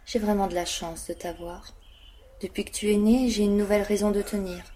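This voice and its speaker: A woman's voice: medium pitch, steady tone, a little fast, dark tone, lightly acted. A woman playing an anguished, protective young mother in an audio drama, speaking earnestly. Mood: tender. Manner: earnest, sorrowful. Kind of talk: dramatic monologue in an audio play.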